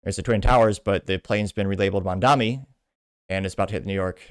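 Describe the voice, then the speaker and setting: A man's voice: low voice, fast talker, no room sound, studio quality. An American man hosting a podcast, reading posts aloud and giving informal, rambling political commentary. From Midwestern United States. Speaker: podcast host.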